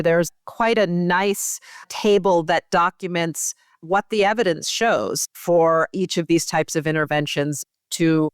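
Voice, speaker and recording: Smooth, low-voiced woman hosting a podcast. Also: dry recording, studio quality. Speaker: podcast host, medical journal editor. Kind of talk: podcast interview.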